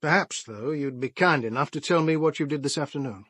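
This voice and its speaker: An actor playing a measured, dryly sceptical police inspector questioning suspects in a radio play. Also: male voice, on the high side, a bit bright, breathy edge, dry recording. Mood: probing.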